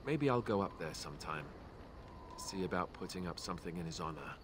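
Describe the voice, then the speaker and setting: Young male warrior, fantasy game companion, earnest, hesitant, apologetic, lowish voice, noisy ambience behind. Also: animated, brisk. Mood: subdued, sincere. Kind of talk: fantasy video game dialogue acting.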